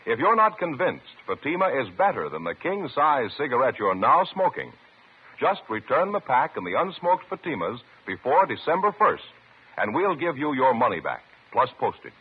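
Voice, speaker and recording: A man's voice: medium pitch, sing-song, moderate pace, breathy edge. Swooping, emphatic male radio ad announcer. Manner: emphatic, polished salesmanship. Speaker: commercial announcer. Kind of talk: 1950s radio ad pitch. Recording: narrow, tinny vintage radio recording.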